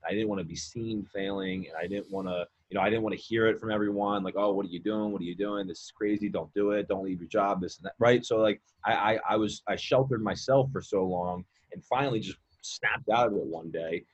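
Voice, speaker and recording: A man's voice: lowish voice, brisk, hardly any pauses, slightly rough, lo-fi sound. A man in his late twenties, a mindset coach and guest, talking casually in a rambling way with many fillers and repeated words. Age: 20s. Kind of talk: casual podcast conversation.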